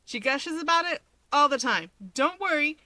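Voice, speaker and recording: Playful young winged hero for an audiobook , acted by a woman: lifted pitch, bright, breezy and emphatic. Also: animated, moderate pace, dry recording. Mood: breezy, reassuring. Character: teasing, excitable young male winged hero.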